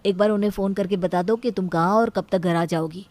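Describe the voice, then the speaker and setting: A woman's voice: mid-range voice, fairly even intonation, brisk, a little mellow, voice pushed down, lightly acted. A woman voicing a sensible, exasperated husband and younger brother-in-law, reasoning and reproachful, in a story.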